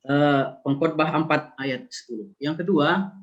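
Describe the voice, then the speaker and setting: A man's voice: on the high side, a little slow, muffled recording. A man from Karo asking earnest questions at a seminar, repeating his points with hesitant fillers. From Karo, North Sumatra.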